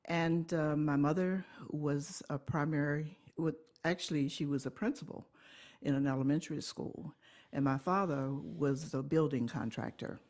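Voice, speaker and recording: A woman, telling her life story in an oral history interview, unhurried and pausing often to correct herself. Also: sits low, a little mellow. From Alabama, USA. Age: 80s. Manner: unhurried recollection with pauses and self-corrections.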